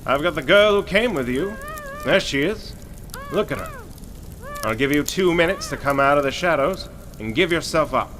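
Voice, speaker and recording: A man's voice: a little high, animated, normal speed, pauses often, a bit bright, a little hiss or hum. A man playing a ruthless, scornful criminal boss in an old-time radio drama, domineering and melodramatic. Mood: threatening. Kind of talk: Golden Age radio drama acting.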